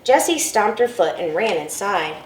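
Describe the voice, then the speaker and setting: A woman, a school principal, reading a picture book aloud in an expressive storytelling way. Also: mid-range voice, moderate pace, a little hiss or hum. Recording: roomy, from a distance, faint ambience.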